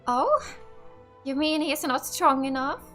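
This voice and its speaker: A woman's voice: high voice, sing-song, slow pace, music in the background. A woman from Finland doing casual let's play commentary and reading game dialogue aloud. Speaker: gaming YouTuber.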